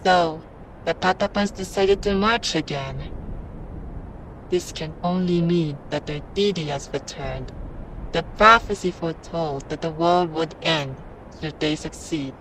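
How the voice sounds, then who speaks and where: A man's voice: fairly high pitch, a little slow, fairly bright tone, a little hiss or hum, poor audio. A man voicing a grave, weary military leader of an enemy tribe in a cartoon comic dub. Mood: grim, brooding.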